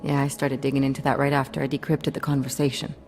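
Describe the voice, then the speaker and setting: A woman's voice: extremely low pitch, little pitch movement, fast, over music. An actress playing a streetwise, wary female activist hacker in a video game, talking in a blunt, slangy, casual way. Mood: blunt, puzzled. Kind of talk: video game cutscene acting.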